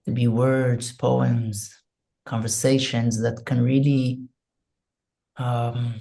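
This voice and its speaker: Israeli man, second-language English, slow and gravelly, dark mellow tone, meditative interview guest. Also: medium pitch, little pitch movement. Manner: soft, hesitant, searching for words, meditative. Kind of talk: slow reflective interview talk with a spoken prayer.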